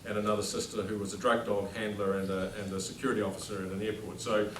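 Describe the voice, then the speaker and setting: A middle-aged New Zealand headmaster delivers a dry, self-deprecating after-dinner speech, projecting his voice with no microphone. He is heard from well across a large dining hall. The room's echo and a steady murmur of noise surround him. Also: lowish voice, quick pace. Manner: self-deprecating, dry jokes, speaks without a microphone to a room. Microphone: no microphone, recorded from across the room. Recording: distant echoey hall with background noise.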